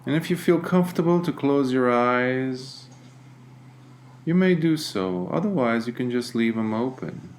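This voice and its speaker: Guy doing a guided meditation, really slow n gentle, lots of pauses, bit of background noise. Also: mid-range voice, animated. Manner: gentle, deliberate, with long pauses. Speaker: meditation teacher.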